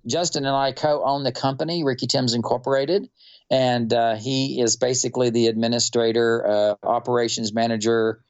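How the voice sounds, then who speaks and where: A man from North Texas with a Texan accent, telling stories in a relaxed, unhurried interview with many fillers. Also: medium pitch, lo-fi sound. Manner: unhurried, rambling storyteller with fillers. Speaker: quilter and musician guest.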